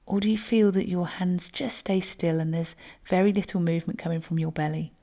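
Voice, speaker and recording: A woman instructor leading a guided breathing exercise, speaking gently and unhurriedly. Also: fairly low pitch, steady tone, fairly quick, vocal fry.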